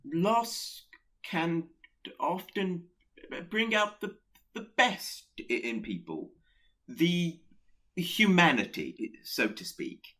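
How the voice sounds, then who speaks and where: A man's voice: on the high side, expressive, drawn-out words, sharp tone, heavily acted. An actor in a radio play playing a nervous, awkward Pestilence who hesitates and stammers as he secretly admires catastrophe. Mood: nervous, reassuring.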